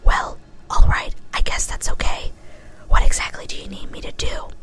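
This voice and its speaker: A woman's voice: normal speed, fairly bright tone, close to an everyday voice. Woman as whispering brash young pony girl. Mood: reluctant, puzzled. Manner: casual, slangy. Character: brash, sporty young female flying cartoon pony. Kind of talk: whispered ASMR-style story reading.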